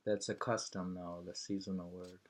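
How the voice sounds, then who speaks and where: A man reading aloud from a book in an unhurried, musing way, with casual conversational asides. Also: deep voice, level tone. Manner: unhurried, musing, pausing to hum and remark. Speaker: reader of a travel book and poems, commenting.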